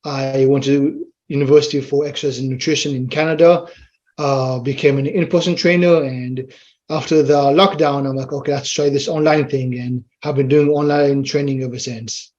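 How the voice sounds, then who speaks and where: A man's voice: medium pitch, little pitch movement, normal speed, darkish tone. A man with an Israeli accent, a fitness trainer chatting easily as a podcast guest and explaining things plainly. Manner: easygoing, explains plainly, quotes himself with "I'm like". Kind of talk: casual interview chat. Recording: slightly muffled lo-fi audio.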